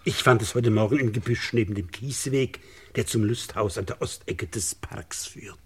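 An actor playing a meticulous, deferential gardener with a husky voice in a German radio crime play. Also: male voice, fairly low pitch, normal speed, darkish tone, slight background noise, natural voice. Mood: deferential, grave. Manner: servile, careful.

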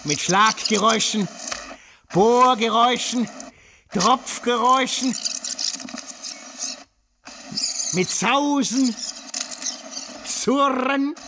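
Slow, obsessive, tyrannical radio-drama man. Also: very high voice, background noise, full character voice. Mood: feverish, strained. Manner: intense, emphatic, drawn-out delivery of key words. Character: Konrad, obsessive, tyrannical man fanatically studying hearing. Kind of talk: dramatic literary radio monologue.

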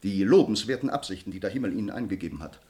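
A man's voice: on the low side, animated, racing, a little mellow, lightly acted. An actor playing a proud, indignant nobleman defending family honour in a classical radio play, formal and stern.

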